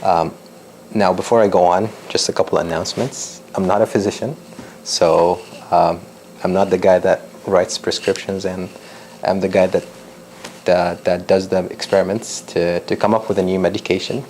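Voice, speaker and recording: A man's voice: deep voice, steady tone, moderate pace, darkish tone, a little hiss or hum, poor audio. A young man from Lebanon, a doctoral researcher, giving a public science talk with many ums and restarts.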